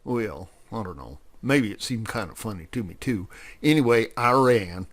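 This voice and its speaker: A man's voice: fairly high pitch, expressive, measured pace, a little mellow, smooth, voice pushed up. Man putting on a rambling, folksy old horse. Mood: sheepish.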